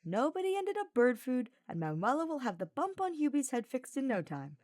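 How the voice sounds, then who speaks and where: A woman's voice: high-pitched, big pitch swings, brisk, a little mellow, dry recording, studio quality, voice pushed up. A woman voicing a cheeky, easygoing young male knight in an audiobook story, with a slangy, teasing manner. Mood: cheerful.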